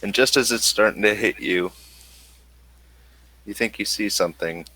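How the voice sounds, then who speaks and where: A man narrating a tabletop role-playing game as game master, halting and pausing between phrases. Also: lowish voice, bright, forceful tone, poor audio.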